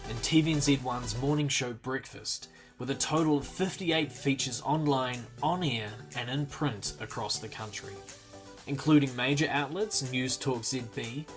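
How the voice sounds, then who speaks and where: Male narrator for a promo clip, smooth upbeat scripted read over soft background music. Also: medium pitch.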